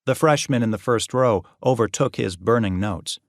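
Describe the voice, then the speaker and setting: A man's voice: medium pitch, moderate pace, no echo. Man narrating fiction, dry close sound. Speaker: audiobook narrator.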